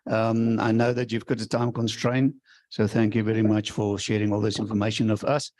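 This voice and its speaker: A man's voice: fairly low pitch, completely flat delivery, a little fast, a little mellow. A man from South Africa chairing a forum, introducing speakers with loose, filler-heavy phrasing. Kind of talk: event hosting.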